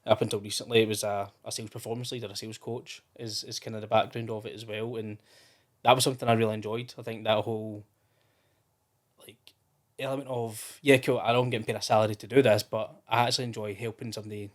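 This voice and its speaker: A man's voice: on the low side, flat delivery, quick pace. A Scottish man in his thirties, a podcast guest in casual, rambling conversation with many restarts. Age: 30s. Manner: chatty and rambling, with frequent restarts and fillers. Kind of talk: casual interview chat.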